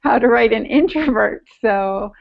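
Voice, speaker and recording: A woman's voice: on the high side, measured pace, gravelly. A middle-aged woman co-hosting a podcast in casual, teasing chat over a video call from home. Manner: playful, teasing. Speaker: podcast co-host. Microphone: earbud headset. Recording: dull, lo-fi video-call audio.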